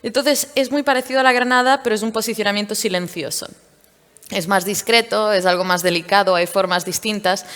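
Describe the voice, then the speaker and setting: A woman from Portugal, a startup founder, speaking candidly and in a lively way during a stage interview at a tech event. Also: mid-range voice, fairly quick. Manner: candid, lively storytelling with fillers. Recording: reverberant event hall.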